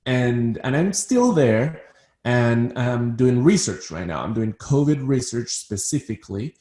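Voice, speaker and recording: A man's voice: medium pitch, expressive, a little slow, mellow, roomy sound. A man from Ecuador who works as a psychiatrist, talking as an interview guest and often repeating words as he starts a sentence. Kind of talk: interview conversation.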